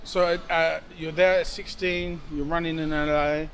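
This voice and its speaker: A man hosting a travel vlog and interviewing someone outdoors, speaking in a relaxed, slangy way. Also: fairly high pitch, unhurried. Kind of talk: casual street interview. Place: city street outdoors. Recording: outdoor street recording with traffic. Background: traffic noise.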